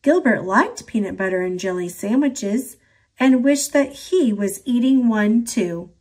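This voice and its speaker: A woman reading a children's picture book aloud in a gentle, expressive manner. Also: fairly high pitch, unhurried. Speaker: storybook reader.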